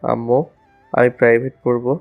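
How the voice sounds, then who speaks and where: A man's voice: mid-range voice, monotone, normal speed, gravelly, narrow, tinny audio, soft background music, natural voice. A man playing a lovestruck, casual young college student in a romantic audio story.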